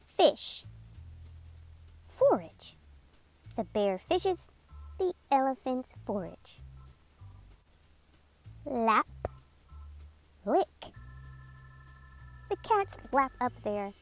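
Lady doing a kids read-aloud, super slow n careful, high voice, music playing behind. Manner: slow, deliberate enunciation with animated emphasis. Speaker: read-aloud host for children. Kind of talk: children's picture book read-aloud, sounding out words.

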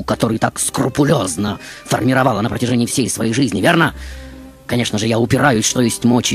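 A man playing an aged, reclusive female film star who recounts her life in a deliberate, declamatory stage monologue. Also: fairly low pitch. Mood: grandly ironic. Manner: declamatory, deliberate, addressing the audience. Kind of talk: theatrical monologue, stage storytelling.

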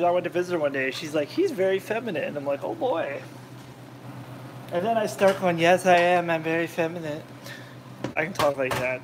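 A man's voice: sits high, a bit bright, a little hiss or hum, rough recording. A man rambling and joking with his live chat while cooking in his home kitchen. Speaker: cooking vlogger. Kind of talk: casual cooking livestream chat.

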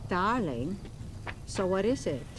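A woman's voice: mid-range voice, sing-song, takes it slowly, a crowd in the background. A woman playing a rich, middle-aged party-loving mother in a video game cutscene, languid and affectionate.

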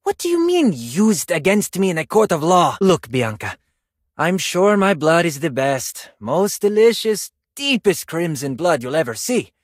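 A husky, flamboyant Spanish dancer. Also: male voice, a little high, big pitch swings, a little slow, dry recording, clean studio sound. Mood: vain, playful. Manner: theatrical, self-admiring, dramatic. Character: vain, flamboyant, graceful Spanish male ballet dancer. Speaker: video game voice actor. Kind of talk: video game character voice lines.

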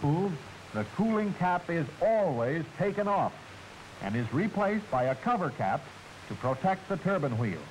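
An American man reads scripted instructional narration in the style of a 1940s military film. His voice sits fairly high and moves with lively intonation, formal and clearly enunciated. The old soundtrack carries a faint hiss.

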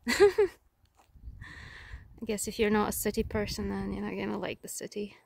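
A woman hiking outdoors and casually commenting on the trail and the views, often quiet and half-whispered. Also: medium pitch, lively intonation, moderate pace, gravelly. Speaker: hiking vlogger. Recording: outdoor noise behind.

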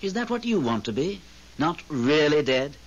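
A man's voice: fairly high pitch, big pitch swings, normal speed. Sardonic, lilting male ghost. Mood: sardonic, weary. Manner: urbane, dry, world-weary. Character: jaded, sardonic male ghost, long dead. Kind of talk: 1970s radio drama acting. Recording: muffled, hissy old radio recording.

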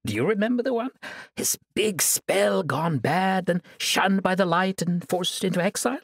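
A woman voicing a cruel, gloating sorceress who taunts her captive in a sweetly menacing, drawn-out way. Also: lowish voice, husky, no room sound, studio quality, voice pushed up.

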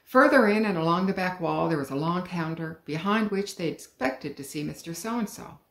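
A middle-aged woman, an author, reading her children's book aloud at home in a calm, clear storytelling manner. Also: fairly low pitch, moderate pace. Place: living room at home. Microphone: webcam, head and shoulders. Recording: roomy living room.

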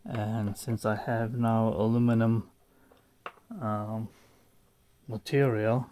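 A man's voice: fairly low pitch, flat delivery, slow pace, warm tone, a little hiss or hum. A man talking through his DIY build in a home workshop, thinking aloud with many fillers, restarts and some phrasing. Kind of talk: unscripted workshop walkthrough.

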